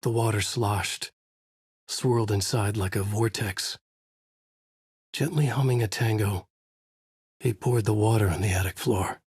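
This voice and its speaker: A brooding, haunted novelist telling a dark thriller in a video game. His voice sits fairly low and has a husky grain. He delivers the narration gravely and deliberately, with noir drama, in a very dry, close sound. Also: no echo, heavily acted. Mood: ominous. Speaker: voice actor. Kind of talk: scripted noir horror narration.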